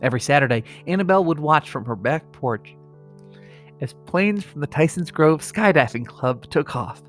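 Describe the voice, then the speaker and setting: Expressive man podcaster with music behind. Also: fairly high pitch, normal speed. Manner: breaks into laughter while trying to read gravely. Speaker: comedy podcast co-host. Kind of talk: mock-solemn reading of comic obituaries and casual chat.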